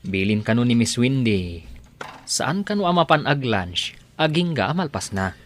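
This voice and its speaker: A man's voice: mid-range voice, very animated, normal speed, close to an everyday voice. A man with a Filipino accent playing a chatty, teasing, obliging office underling in a radio comedy-drama. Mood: gossipy.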